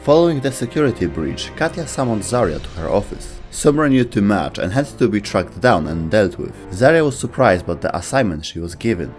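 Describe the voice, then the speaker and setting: A man's voice: lowish voice, very animated, fairly quick, few pauses, faint music behind. A man reading scripted narration as the narrator of an explainer video.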